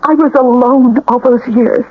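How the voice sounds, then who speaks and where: A woman's voice: on the high side, fairly even intonation, unhurried, a little hiss or hum, lightly acted. An actress playing an anxious, emotional woman in an old radio drama, earnest and hesitant. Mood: sad, apologetic. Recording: thin, phone-like old radio broadcast.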